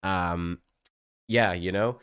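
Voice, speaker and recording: A man's voice: low voice, fairly even intonation, very slow, fairly bright tone, smooth, dry recording, studio quality. A man with a cold, sniffly and coughing, chatting casually as a game content creator, with many fillers and restarts.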